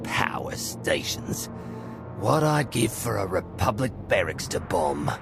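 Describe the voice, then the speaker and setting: A man's voice: lowish voice, very animated, slow pace, creaky, heavily acted. A man playing a boastful, theatrical space warrior in a video game, swaggering and grandiose. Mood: skeptical. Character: boisterous male Mandalorian warrior, boastful, theatrical. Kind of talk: video game cutscene acting. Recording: music behind.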